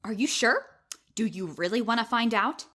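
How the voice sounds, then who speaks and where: A woman's voice: a little high, animated, moderate pace, a bit bright. A woman playing a brash, self-important young amateur video detective in an audio drama, bragging and accusing theatrically. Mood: accusing, menacing.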